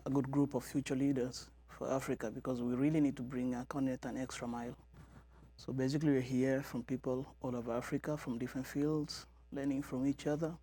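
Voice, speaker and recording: A man's voice: medium pitch, mellow, no echo. Earnest Tanzanian man, interview guest. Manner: earnest, repeats words while searching. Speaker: guest, member of parliament. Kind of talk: studio interview.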